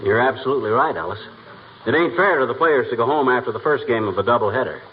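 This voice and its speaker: An actor playing a blustering, boastful middle-aged husband who spins folksy tall tales in a 1940s radio comedy. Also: male voice, medium pitch, animated, fast talker, close to an everyday voice. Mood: wry, boastful. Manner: folksy, bragging, theatrical. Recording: dull, noisy 1940s radio recording.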